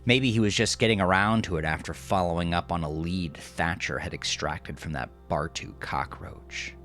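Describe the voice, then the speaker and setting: A man narrating horror fiction in a gravelly voice, deliberate and dramatic, often dropping to a hush. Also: sits low. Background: music.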